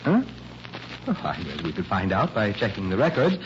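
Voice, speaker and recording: A guarded, formal family lawyer. His courteous lines rise and fall expressively in old radio mystery style, through a muffled recording with clear background noise. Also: fairly low pitch, huge pitch swings, moderate pace. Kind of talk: 1940s radio mystery acting.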